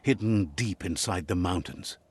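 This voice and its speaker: A man narrating a video game as a calm storyteller who relays what the creatures say. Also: sits low, moderate pace, husky. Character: grown male storybook narrator, calm and wise.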